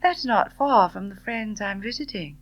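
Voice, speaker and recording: A woman's voice: medium pitch, expressive, moderate pace, fairly bright tone, dry recording, pitched above the performer's own voice. A woman voicing a proud, headstrong young widow in an audiobook, bold and emotionally intense. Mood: defiant. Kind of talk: audiobook character dialogue.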